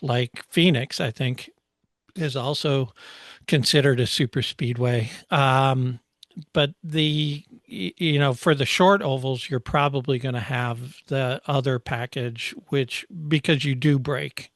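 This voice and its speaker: A man co-hosting a casual technical discussion, explaining things in a halting way with frequent pauses. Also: mid-range voice, steady tone, dry recording. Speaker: co-host explaining motorsport technology.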